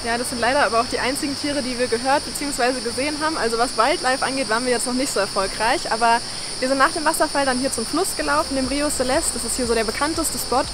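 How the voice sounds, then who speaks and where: Quick young woman with highish voice. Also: background noise. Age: young adult. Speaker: travel vlogger. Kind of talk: casual vlog update. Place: outdoors in forest.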